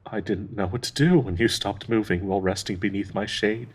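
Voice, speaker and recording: An actor playing a devoted, grieving male dryad in an intimate audio roleplay, speaking tenderly and haltingly with a husky, breathy voice. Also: fairly low pitch, steady tone. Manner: slow, halting, tender pleading with sighs.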